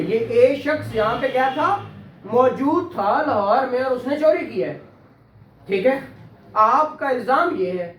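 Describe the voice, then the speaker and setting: Echoey, high-voiced Pakistani male law teacher. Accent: Pakistani Punjabi. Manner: conversational, questioning, acts out courtroom exchanges. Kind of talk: interactive classroom lecture. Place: indoor classroom. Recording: echoey room, distant mic.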